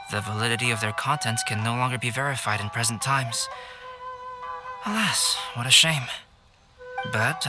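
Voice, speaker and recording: A man plays a young scholarly artist in a video game, with a medium-pitched voice. He is composed and reflective, choosing formal words with care, as music plays clearly behind him. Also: natural voice.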